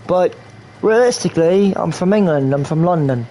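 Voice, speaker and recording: A man's voice: high-pitched, expressive, moderate pace, warm tone, poor audio. A man from New York rambling through casual game stream commentary, repeating himself and slipping in and out of a put-on English accent. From New York, USA.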